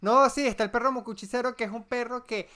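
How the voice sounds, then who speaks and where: A man's voice: very high-pitched, fairly even intonation, fast talker, bright, forceful tone. A young Venezuelan man co-hosting a podcast in casual, joking chat, with a dry, playful delivery. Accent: Venezuelan Spanish. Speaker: podcast co-host.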